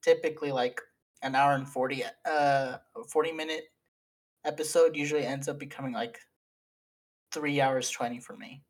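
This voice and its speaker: A man's voice: mid-range voice, fairly even intonation, moderate pace. Young male co-host, casual, rambling delivery with frequent laughs and fillers, mid-range voice. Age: young adult. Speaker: podcast co-host and editor. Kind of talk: casual chat among friends.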